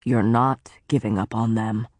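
A stern, cold male faerie lord from a storybook, clipped and scornful, as a woman narrator puts him on. His voice sits very low and sounds dry and close, with no room around it. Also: fairly even intonation, unhurried, darkish tone, no room sound.

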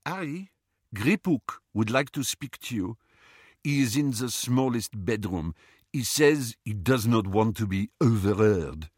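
A man's voice: lowish voice, lively intonation, a little slow, breathy edge, dry recording, studio quality. A man voicing an irritable young woman with formal, clipped wording in an audiobook. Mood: curt. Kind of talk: audiobook character voice.

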